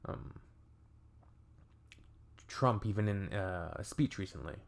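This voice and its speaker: A man's voice: deep voice, expressive, slow pace, vocal fry. A young adult man from Canada hosting a reaction video, chatting in a wry, think-aloud way. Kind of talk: casual reaction commentary.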